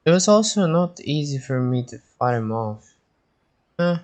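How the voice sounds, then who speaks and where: Slow-talking man streaming a game. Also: a little high, sing-song. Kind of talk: casual livestream reactions and reading game text aloud.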